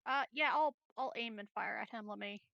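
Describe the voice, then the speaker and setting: A woman's voice: fairly high pitch, brisk, gravelly, sharp tone, no room sound, lo-fi sound. A woman chatting casually as a player in a tabletop game.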